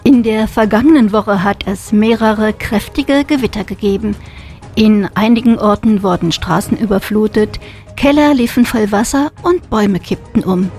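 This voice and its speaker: A woman's voice: medium pitch, moderate pace, a little mellow, faint music behind. A woman presenting radio news in a studio and interviewing a new volunteer in a friendly way.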